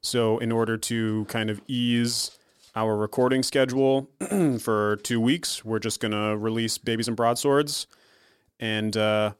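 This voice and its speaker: A man in his thirties chatting casually on a tabletop game podcast, easygoing and quick to laugh. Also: mid-range voice, fairly even intonation, clear, resonant tone, dry recording. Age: 30s. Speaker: podcast player.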